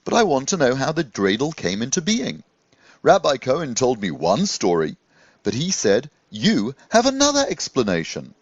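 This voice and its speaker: An earnest, curious magical scarecrow from a children's storybook, played by a man, speaks in a lifted, lilting voice. He is polite and full of wonder, with very expressive swings of pitch. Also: moderate pace, dry recording, dull audio. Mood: curious, questioning.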